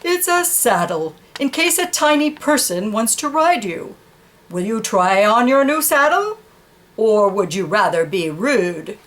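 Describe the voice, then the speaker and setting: A woman reading a children's picture book, voicing a big, vain, condescending horse with teasing, drawn-out baby talk. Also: fairly high pitch, animated, fairly bright tone. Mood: cheery, coaxing.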